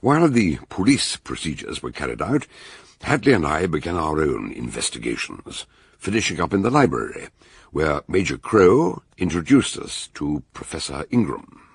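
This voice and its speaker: An actor playing a bluff, hearty older British detective doctor in a radio drama, ponderous and exclamatory, with a husky voice. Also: male voice, a bass voice, very animated, normal speed, no room sound, studio quality, full character voice. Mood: measured.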